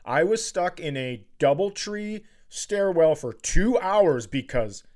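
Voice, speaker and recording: A man's voice: a little high, lively intonation, takes it slowly, a little mellow. A man co-hosting a comedy podcast, bantering casually with blunt, teasing, profane interjections. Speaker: podcast co-host.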